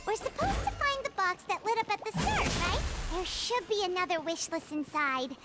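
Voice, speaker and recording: Tiny excitable game fairy: extremely high, squeaky, childlike, very animated delivery. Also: female voice, normal speed. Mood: puzzled, eager. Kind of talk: video game voice acting. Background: prominent game music.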